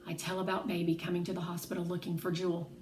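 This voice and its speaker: A woman reading a children's novel aloud in an expressive, animated way, acting out the dialogue. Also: lowish voice, quick pace. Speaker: read-aloud narrator. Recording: roomy echo, faint background noise.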